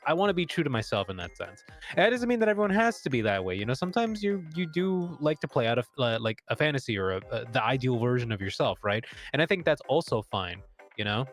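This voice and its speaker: A man's voice: medium pitch, a bit bright. A young man chatting casually on a stream, rambling with lots of fillers and restarts. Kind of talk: casual chat.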